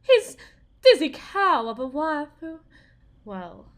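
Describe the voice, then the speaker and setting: A woman's voice: sits high, very animated, takes it slowly, full character voice. A woman playing a bitter, desperate young Southern US wife, confiding in a folksy, theatrical way in an audio roleplay monologue. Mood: scornful, defiant.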